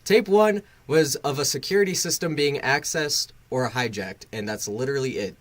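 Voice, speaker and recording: A man's voice: medium pitch, normal speed, a bit bright. A man hosting a casual live reaction stream, rambling and swearing, bluntly critical with a sarcastic, self-deprecating streak. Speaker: livestream host and reviewer.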